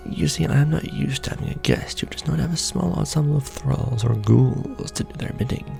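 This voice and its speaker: ASMR vampire lord played by a man, courtly, patient, heavy gravelly fry, breathy, formal and measured, music behind. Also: mid-range voice, warm tone. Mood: apologetic, courteous. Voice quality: gravelly, often breathy and half-whispered. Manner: formal, archaic wording, measured delivery with pauses. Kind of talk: ASMR roleplay addressed intimately to the listener.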